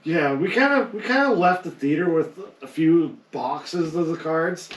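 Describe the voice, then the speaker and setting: A man's voice: fairly high pitch, lively intonation, measured pace. A man chatting casually and enthusiastically as a podcast co-host. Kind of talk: casual panel chat. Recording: roomy echo, distant microphone.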